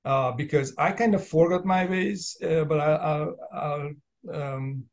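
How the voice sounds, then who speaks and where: A man in his fifties from Hungary, a native Hungarian speaker with a Hungarian accent, talking casually and haltingly as an interview guest. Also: on the high side, vocal fry. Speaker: podcast guest, entrepreneur and business coach. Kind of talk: casual personal storytelling in an interview.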